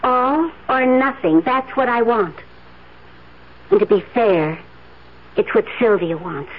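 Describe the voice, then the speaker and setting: An actress playing a proud, bitter mistress demanding commitment in a 1970s radio drama, speaking in a wry, pointed way. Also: female voice, fairly high pitch, slow pace. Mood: bitter resolve. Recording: muffled phone-like old radio recording.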